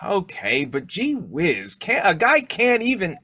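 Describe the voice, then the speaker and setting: A man's voice: high-pitched, huge pitch swings, a little slow, sharp tone, breathy edge, dry recording, studio quality, heavily acted. A man playing a sly, childlike, blustering husband in old-time radio comedy style, muttering and stammering. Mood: sheepish. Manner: muttering to himself, stammering, exclaiming.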